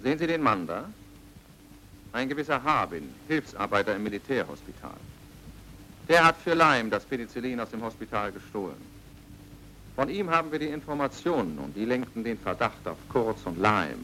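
A man's voice: mid-range voice, big pitch swings, normal speed, pushed and bright, slight background noise, poor audio. An actor playing a serious, terse man in a radio crime drama. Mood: brisk, stern.

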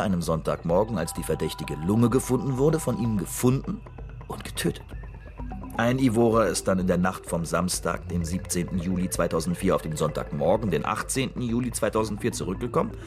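Shrewd, cynical investigator: low register, matter-of-fact report delivery, radio drama with music underneath. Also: male voice, a little mellow. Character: shrewd, cynical male investigator reciting a fabricated case report. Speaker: actor.

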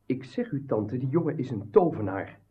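A man's voice: mid-range voice, big pitch swings, normal speed, lightly acted. An actor plays an urgent young nephew, the alarmed accomplice of a villain. His intonation leaps about insistently in radio-drama style. The recording is a little dull and old. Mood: agitated, insistent.

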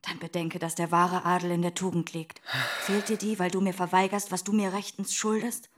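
A woman acting a wronged young noblewoman who pleads softly, almost whispering, in a radio drama. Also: on the low side, little pitch movement, fairly quick, background noise, lightly acted. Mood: imploring. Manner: soft, breathy pleading. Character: wronged young noblewoman, humble yet proud.

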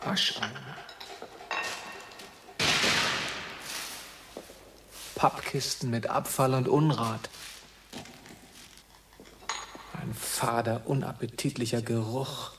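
A male actor plays a lost, brooding wanderer in a radio drama. His mid-range voice comes from a little way off the microphone. He speaks in halting, poetic phrases full of melancholy questioning. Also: medium pitch, fairly even intonation, noisy background, lightly acted. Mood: bleak, observing. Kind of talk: German radio drama acting. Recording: somewhat distant from microphone.

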